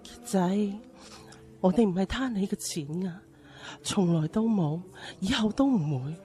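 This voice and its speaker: A woman's voice: mid-range voice, lively intonation, a little slow, mellow, lightly acted. An actress playing an anxious woman pleading with her family in a Cantonese radio drama, with a Hong Kong accent.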